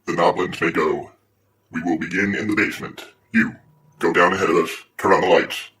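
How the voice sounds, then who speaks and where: A man playing an officious dystopian patrol officer in an audio drama, formal, deadpan and menacing. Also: sits low, a little fast, a little hiss or hum, poor audio.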